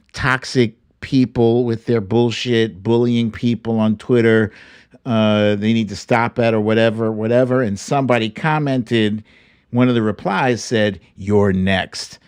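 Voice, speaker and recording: A man's voice: medium pitch, clear, resonant tone. A man from Brooklyn hosting a livestream talk show, chatting informally and slangily straight to his viewers. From Brooklyn, New York. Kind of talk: casual livestream chat with viewers.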